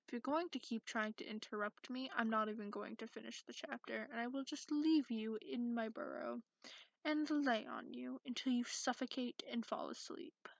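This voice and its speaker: A woman playing a sarcastic, cranky dragon in a soft-spoken ASMR bedtime reading, recorded close up in lo-fi. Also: fairly high pitch, normal speed, dry recording. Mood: irritated, sarcastic. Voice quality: creaky. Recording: dull lo-fi close dry recording.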